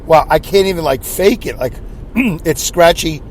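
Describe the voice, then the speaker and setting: A man hosting his own podcast with a scratchy voice, rambling in a self-deprecating way and clearing his throat often. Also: on the high side, unhurried. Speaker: podcast host. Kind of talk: solo rambling podcast monologue. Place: inside a car.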